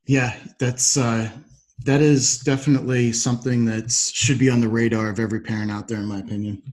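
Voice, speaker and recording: A man's voice: mid-range voice, little pitch movement, brisk, darkish tone. A man speaking as the host of a podcast interview.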